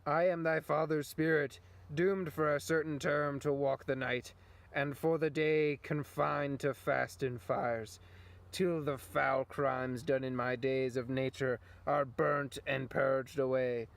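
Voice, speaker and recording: A man voicing the solemn, suffering ghost of a murdered king in a Shakespearean puppet show, declaiming in drawn-out phrases. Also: mid-range voice, level tone, vocal fry. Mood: ominous.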